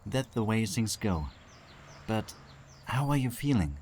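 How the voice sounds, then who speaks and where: A man voicing a gentle, protective man in an audio drama. He speaks softly and consolingly in a low voice, with faint noise in the background. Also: big pitch swings, a little slow. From Germany. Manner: soft, consoling.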